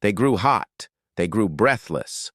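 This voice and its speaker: A man narrating a folktale as a storyteller, expressive and dramatic, with deliberate emphasis. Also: fairly low pitch, no room sound, studio quality.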